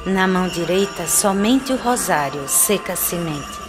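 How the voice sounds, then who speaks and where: A woman playing a mourning countrywoman in an animated film, reciting bleak rhythmic burial verse. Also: lowish voice, measured pace, music in the background.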